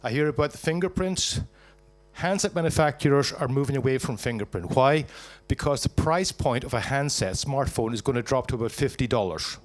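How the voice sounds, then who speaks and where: A Scottish man giving a live startup pitch to an audience, jokey and punchy, asking and answering his own questions. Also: lively intonation, a little fast. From Scotland.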